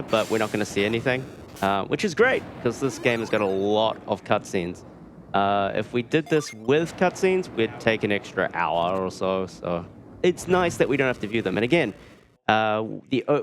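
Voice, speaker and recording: A man's voice: medium pitch, slight background noise. A man with a New Zealand accent giving casual, dryly joking live commentary as he plays a video game. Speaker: speedrunner presenting a live run. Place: live speedrunning marathon event. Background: game music.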